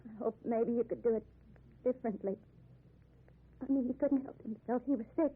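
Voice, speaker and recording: A frightened young woman in a radio thriller. Her voice is high and badly frayed with breath, pleading in horror. The old broadcast recording is narrow and dull. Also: fairly even intonation, normal speed, breathy edge. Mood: shaken, pleading. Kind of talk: old-time radio drama acting.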